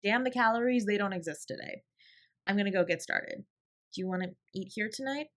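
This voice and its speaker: A woman's voice: medium pitch, brisk, close to an everyday voice. A woman playing a fiercely protective older sibling confronting a parent in an audio drama, scolding and emphatic with a sarcastic edge. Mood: soft, reassuring. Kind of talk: dramatic audio roleplay acting.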